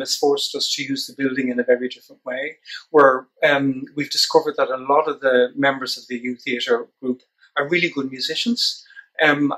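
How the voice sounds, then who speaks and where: An Irish man in his sixties, a theatre director, talking reflectively in an interview and pausing mid-sentence to find his words. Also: mid-range voice, a bit bright, rough recording.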